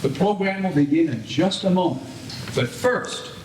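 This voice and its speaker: A man's voice: sits high, lively intonation, normal speed, darkish tone. A man playing a courteous, theatrical 1940s radio show announcer with formal delivery. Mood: showy, formal. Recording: roomy echo, clear background noise.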